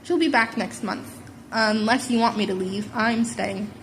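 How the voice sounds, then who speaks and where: A woman's voice: fairly high pitch, unhurried, a bit bright, rough recording. Woman playing guarded teen boy, dry, awkward, understated, story reading, background noise.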